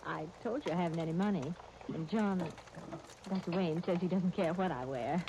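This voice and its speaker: A kind, earnest young woman played by an actress in an old radio soap opera. Her voice sits a little low and she speaks with gentle, reassuring politeness, over the clear noise of a 1930s disc recording. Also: moderate pace, natural voice. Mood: polite, admiring. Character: kind, earnest young fiancée training as nurse.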